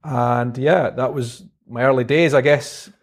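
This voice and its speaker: A young man with a Scottish Edinburgh accent, a guest in an interview, talking about his past in a relaxed, halting way with many pauses and restarts. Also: medium pitch. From Scotland. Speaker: guest, vlogger. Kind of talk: casual interview chat.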